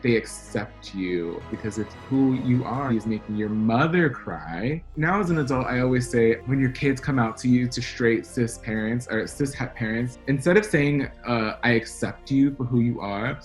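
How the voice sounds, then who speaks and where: A man's voice: mid-range voice, normal speed, few pauses, soft background music. Chatty man telling stories animatedly. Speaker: guest. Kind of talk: casual interview talk.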